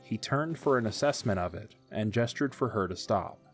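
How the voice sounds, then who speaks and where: A man narrating an audio drama in a measured storytelling delivery. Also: lowish voice, lively intonation, soft background music.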